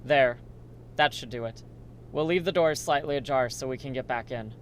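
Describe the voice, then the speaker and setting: A man's voice: medium pitch, normal speed, a little hiss or hum, natural voice. A man acting as a practical male survivor in a horror audio drama. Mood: businesslike. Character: male survivor scavenging amid a bedbug plague, practical.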